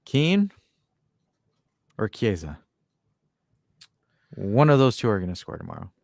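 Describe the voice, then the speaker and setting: A low-voiced American man co-hosting a football podcast, his pitch swinging widely as he jokes and teases himself. He reads out fan predictions in an easy, casual way. The sound is dry and close, with no room echo. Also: very animated, measured pace, gravelly, darkish tone. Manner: joking, self-mocking, reads out fan comments. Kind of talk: casual chat.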